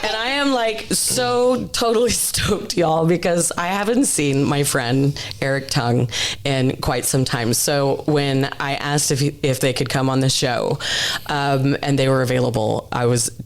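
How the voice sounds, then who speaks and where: A woman with a raspy voice co-hosting a casual talk show, chatty and self-mocking, saying "y'all". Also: a bass voice, unhurried. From Houston, Texas. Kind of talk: casual chat.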